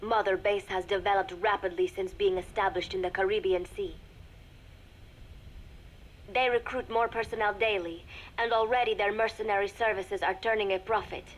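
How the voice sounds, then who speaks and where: Woman acting a young lady spy dictating a secret game audio log, formal n measured, careful diction, noisy cassette sound. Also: medium pitch, lightly acted. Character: composed young female spy secretly reporting to a shadowy master. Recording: noisy cassette tape recording with ambience.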